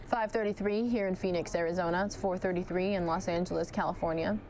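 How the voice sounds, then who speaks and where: A woman news anchor giving live commentary on a police chase, chatting directly with viewers, her voice starting to go hoarse. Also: on the low side, steady tone, fast talker, a little mellow, slight background noise. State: losing her voice.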